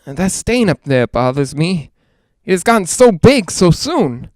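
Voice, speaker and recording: A male actor playing a nervous, lonely broadcaster in a 1940s-style radio drama, rambling and halting as he tries to reassure himself. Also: high-pitched, big pitch swings, a little mellow, no room sound. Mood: anxious, rattled. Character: jittery, lonely man broadcasting alone from haunted house.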